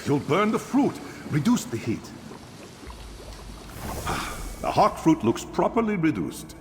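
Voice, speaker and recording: An actor playing a friendly, chatty innkeeper and eager drink inventor in a fantasy video game, theatrical and animated. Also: male voice, fairly high pitch, takes it slowly, noisy background. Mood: attentive coaching. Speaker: innkeeper giving instructions. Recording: long reverb, music behind.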